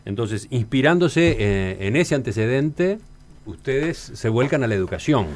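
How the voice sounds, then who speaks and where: A man hosting a radio interview, with emphatic, deliberate phrasing. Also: medium pitch, expressive. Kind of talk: interview with scripted introduction.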